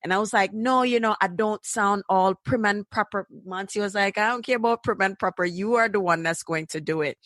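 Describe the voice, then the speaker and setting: A woman's voice: medium pitch, fairly quick, few pauses, fairly bright tone, dry recording, studio quality. A middle-aged woman with a Jamaican accent, hosting a podcast and telling stories in a chatty way full of fillers. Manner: chatty, storytelling, many fillers. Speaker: podcast host. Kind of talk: conversational interview.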